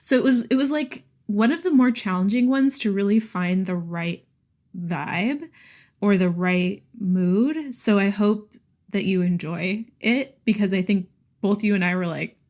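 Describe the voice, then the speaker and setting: A woman's voice: mid-range voice, unhurried, a little mellow. A woman co-hosting a podcast, chatting casually about books in a giggly, enthusiastic way. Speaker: podcast co-host. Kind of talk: casual book chat.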